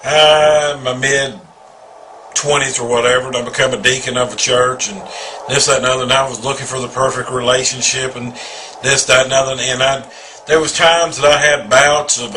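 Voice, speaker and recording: A man's voice: medium pitch, pushed and bright, a little hiss or hum, poor audio. A man in his forties giving an earnest, rambling personal testimony, often repeating himself. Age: 40s. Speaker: video testimonial speaker.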